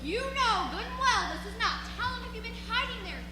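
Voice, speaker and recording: A woman's voice: very high voice, lively intonation, brisk. A woman acting vain, melodramatic fairy-tale royalty in an exaggerated, exclamatory readers theatre style. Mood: outraged. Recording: echoey hall with loud music.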